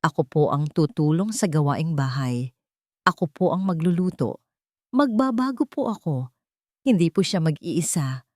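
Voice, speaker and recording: A steadfast, respectful husband and son played by a woman narrator speaks calmly and firmly. The delivery is melodic and earnest, recorded dry for a romantic audio story. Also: sits low, normal speed, no echo. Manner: calm, firm, polite.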